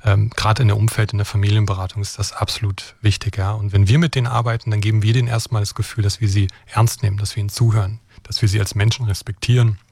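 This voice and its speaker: A man's voice: lowish voice, level tone, fast, creaky, few pauses, a little mellow, husky, no echo, clean studio sound. A middle-aged man from Brandenburg explaining his work as an expert guest. He talks fluently and earnestly, often checking in with "ja?" and "ne?". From Brandenburg, Germany. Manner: fluent, earnest, keeps adding "ja?" and "ne?" tags. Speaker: guest expert on extremism and deradicalization. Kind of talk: explanatory interview answers.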